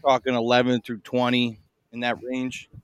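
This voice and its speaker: A man in a mid-range voice chats loosely through a fantasy football podcast, full of fillers and easy laughs. His voice is close and dry, with almost no room around it. He talks between bites of a meal, relaxed and unhurried. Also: mid-range voice, clear, resonant tone, no echo. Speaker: podcast co-host. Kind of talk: casual fantasy football chat. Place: in Boston, eating a meal while recording.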